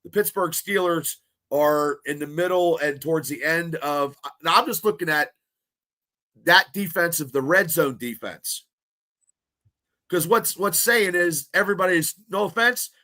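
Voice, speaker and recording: A man co-hosting a sports podcast, talking in excitable, rambling bursts full of restarts, with a stuffy nose. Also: high-pitched. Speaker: podcast co-host. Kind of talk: casual sports podcast chat.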